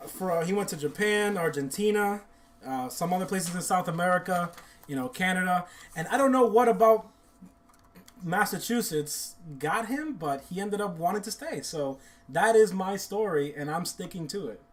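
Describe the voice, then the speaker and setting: A man's voice: high voice, animated, moderate pace. A man talking as a podcast guest, telling stories in a playful, rambling, self-mocking way. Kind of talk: casual storytelling chat.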